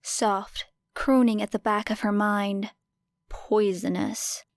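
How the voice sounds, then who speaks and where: A woman reads scripted fiction as an audiobook narrator. Her voice sits at a medium pitch, and the recording is dry with very little room sound. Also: steady tone, slow pace, no room sound.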